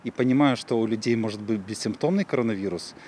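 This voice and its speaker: A man's voice: lowish voice, fast, a little mellow, slight background noise. A young man giving a spontaneous opinion as an interviewed passer-by, speaking into a handheld microphone outdoors. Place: city park, outdoors. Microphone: handheld reporter microphone.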